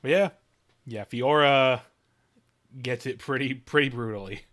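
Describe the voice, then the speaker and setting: A man's voice: medium pitch, a little slow, a bit bright. A man chatting casually on a live game stream, rambling and correcting himself, with some swearing. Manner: rambling, profane, self-correcting. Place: live stream with game music and dialogue behind.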